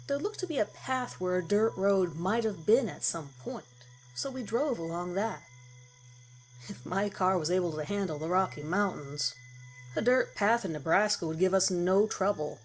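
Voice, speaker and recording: A woman's voice: fairly low pitch, a little hiss or hum, poor audio. A woman reading a scripted horror story in the first person as its narrator. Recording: music behind the voice. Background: background music.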